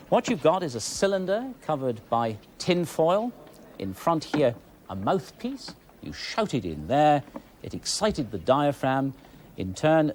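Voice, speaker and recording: A British man explains things as a documentary presenter. His intonation is very lively, rising and falling widely, over a faint noise in an older broadcast recording. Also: medium pitch. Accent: British English. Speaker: television presenter.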